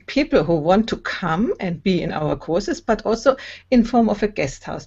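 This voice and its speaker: A woman's voice: mid-range voice, a little mellow. Woman host, video call, dull lo-fi sound, laughs a lot. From Germany. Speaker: host and coach. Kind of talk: casual video call chat. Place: home in Italy.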